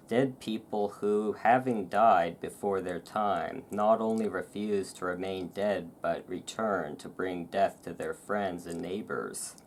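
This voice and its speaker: A host reading aloud in a relaxed ASMR style, with informal, self-deprecating asides and rain and thunder behind. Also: low voice. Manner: informal, self-deprecating, stumbles over foreign words. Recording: clear background noise of rain and thunderstorm. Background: rain and thunderstorm ambience.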